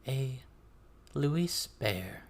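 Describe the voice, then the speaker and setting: A man voicing a calm, courteous female forest spirit with a slow, quiet manner in an audiobook. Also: mid-range voice, voice pushed up. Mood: measured.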